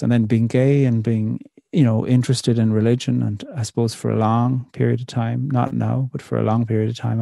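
Poet, middle-aged male, dark gravelly voice, slow reflective delivery. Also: medium pitch, dry recording, clean studio sound. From Ireland. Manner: thoughtful, measured, many pauses and fillers. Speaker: poet, interview guest. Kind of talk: reflective conversational interview.

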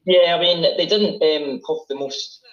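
A man's voice: fairly high pitch, measured pace. A man speaking as a guest in a casual chat, commenting while they watch an episode, with easygoing hesitations. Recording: roomy, dull-toned recording.

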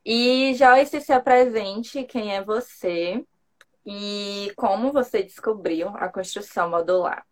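A woman's voice: medium pitch, takes it slowly. A Brazilian woman with a Bahian accent, an interior designer hosting a live stream over a video call, speaking in a chatty, friendly way. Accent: Bahian Brazilian Portuguese. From Salvador, Bahia, Brazil. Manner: chatty, laughs easily.

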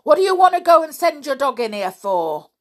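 A woman's voice: high-pitched, big pitch swings, a little fast, voice pushed up. Woman doing a bellowing deaf old caretaker. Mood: furious. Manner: bellowing, cross and threatening. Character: deaf, bad-tempered old male caretaker.

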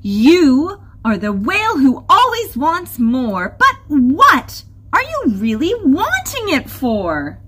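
A woman's voice: sing-song, slow pace, a bit bright, slight background noise, full character voice. A woman voicing a clever, kind, feisty young female crab in a storybook, with an earnest, emphatic manner. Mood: challenging. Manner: urgent, high, emphatic, earnest.

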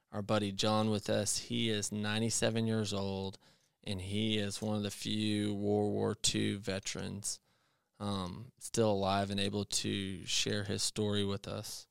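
A man's voice: on the low side, completely flat delivery, slow, gravelly, fairly bright tone, clear, resonant tone, no echo, studio quality. A man hosting a podcast interview, introducing his guest and asking simple questions. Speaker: podcast host.

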